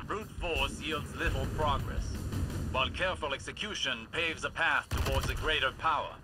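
An actor playing a wise older scholar mentor in a video game, earnest and philosophical, lecturing in formal, measured phrases. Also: male voice, medium pitch, natural voice. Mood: thoughtful, instructive. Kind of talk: scripted video game dialogue. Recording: game audio with vehicle-like noise.

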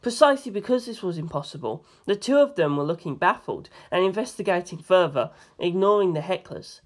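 Narrator reading a story aloud, mid-pitched. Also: animated, a little fast. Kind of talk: scripted audiobook-style narration of fan fiction.

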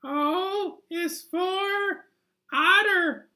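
A man voicing a patient zebra puppet, slowly reciting in a children's puppet show. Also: very high voice, bright, forceful tone, voice pushed up, heavily acted. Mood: patient, deliberate. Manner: slow, deliberate.

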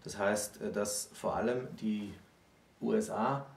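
A man with a fairly low, creaky voice gives a deliberate, explanatory talk in a conversational style. He is recorded in an echoey room. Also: steady tone. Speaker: co-presenter explaining history. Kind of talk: conversational lecture. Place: small indoor room with bookshelves. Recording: roomy echo, distant microphone.

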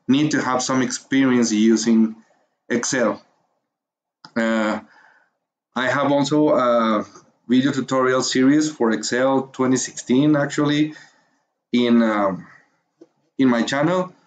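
A man's voice: medium pitch, highs cut off, slight background noise. Hesitant Mexican-accented man teaching. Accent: Mexican Spanish. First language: Spanish. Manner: hesitant, many fillers and pauses while finding words; encouraging. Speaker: course instructor. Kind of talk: screencast tutorial narration.

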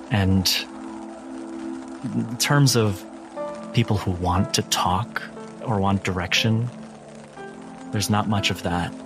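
A man working as game master, narrating a tabletop roleplaying game in a measured, deliberate way with dramatic pauses. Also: fairly low pitch.

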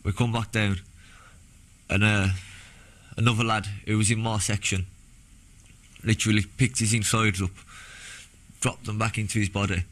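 A young British man, a former soldier, recounting his experiences in a halting, plain-spoken way in a documentary interview. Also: on the low side, a bit bright. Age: young adult. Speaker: former soldier giving testimony. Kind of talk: documentary interview, personal recollection. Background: background music.

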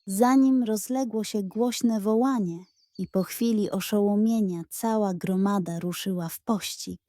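A woman narrating a scripted bedtime story for children in an expressive storytelling style. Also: mid-range voice, unhurried, no room sound, clean studio sound.

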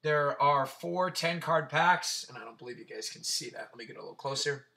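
A man with a raspy, sore throat hosting a casual card-breaking stream, chatting and swearing as he reels off player names. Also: mid-range voice, brisk, bright, forceful tone. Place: tabletop card-breaking setup indoors.

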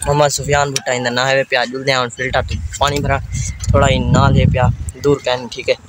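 A man's voice: mid-range voice, level tone, fast talker. A young man with a Saraiki accent from Pakistan, chatting casually and repetitively as he films a village vlog. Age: teens to young adult. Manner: informal, repetitive running commentary. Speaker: vlogger, host. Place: outdoors in village lanes, fields and walled yard. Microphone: phone at arm's length. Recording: noisy handheld phone recording.

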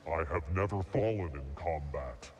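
A man voicing a stern, merciless combat droid in a video game, clipped and deadpan through a robot filter. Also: a bass voice, normal speed, husky, in a large hall, highs cut off. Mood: cold, deadpan. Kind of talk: video game character dialogue. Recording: game capture with faint noise.

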